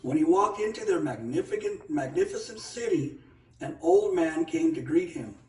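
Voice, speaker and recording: A man narrating a children's story in an animated read-aloud style. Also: on the high side, moderate pace. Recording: roomy echo, distant microphone.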